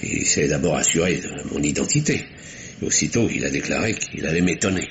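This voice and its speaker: A man's voice: very deep voice, animated, a little fast. An actor playing a nervous, courteous bourgeois man in a 1950s French radio mystery, formal and precise but slightly flustered. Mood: earnest, indignant. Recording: faintly noisy vintage radio recording.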